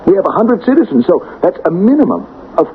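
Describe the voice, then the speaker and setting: Animated, calculating radio-drama conspirator. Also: male voice, high-pitched, quick pace. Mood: insistent, calculating. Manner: insistent, coaxing, emphatic. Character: persuasive, calculating small-town conspirator pushing for murder. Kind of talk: 1970s radio drama acting. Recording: tinny, noisy old radio broadcast.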